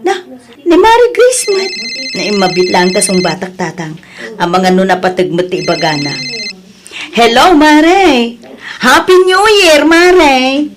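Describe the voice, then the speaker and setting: A woman with an Ilocano accent playing an exasperated, defensive village hairdresser in an audio drama, with expressive exclamations. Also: on the high side, measured pace, noisy background. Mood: worried, chatty, then friendly. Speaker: drama voice actor.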